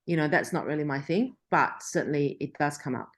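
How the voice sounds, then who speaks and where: Chatty journalist woman, lowish voice. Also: brisk. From Australia. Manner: chatty, frequent restarts and laughter. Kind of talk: interview conversation.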